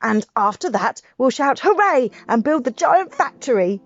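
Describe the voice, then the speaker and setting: Woman doing an excitable, high-pitched scheming dad. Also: moderate pace, full character voice. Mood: eager. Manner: emphatic, exclamatory, urgent. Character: wildly excited, impatient father with grand schemes. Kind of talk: audiobook character voice for children's story. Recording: muffled audio with music behind.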